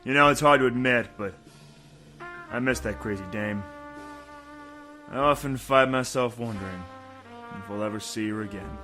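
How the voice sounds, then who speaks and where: An actor playing a world-weary, wisecracking private detective in a hardboiled noir audio drama, dry and sardonic. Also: male voice, medium pitch, normal speed, pauses often, bright, forceful tone, soft background music, close to an everyday voice. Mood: wistful.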